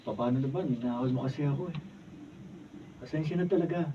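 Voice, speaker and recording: A man's voice: medium pitch, brisk, dark tone, close to an everyday voice. A Filipino man playing an earnest, lovesick young student with a pleading manner in a radio drama. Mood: apologetic, pleading. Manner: pleading, sentimental. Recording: muffled, distant mic, noisy room.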